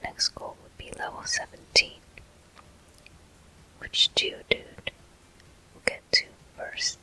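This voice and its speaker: Soft whispered ASMR gameplay commentary. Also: slow, low-quality recording. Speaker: game walkthrough host.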